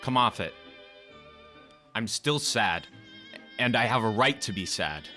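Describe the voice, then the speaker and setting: A moody young charmer from a Depression-era radio play. His voice sits deep, with a crisp, forward edge. He woos playfully and theatrically, over faint music. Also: expressive, a little slow, bright, forceful tone. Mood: defensive, hesitant.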